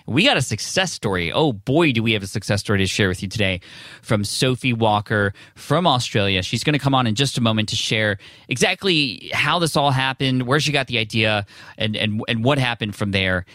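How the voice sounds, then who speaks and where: Excited man hosting a podcast. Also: mid-range voice, brisk, no echo, clean studio sound. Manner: enthusiastic, excitable. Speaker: podcast host.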